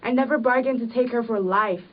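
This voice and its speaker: A woman's voice: fairly high pitch, fairly quick, lightly acted. A woman playing a sickly, bitter, complaining farm wife with old-fashioned rural phrasing in an amateur period drama. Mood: bitter, hard. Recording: muffled audio, distant microphone.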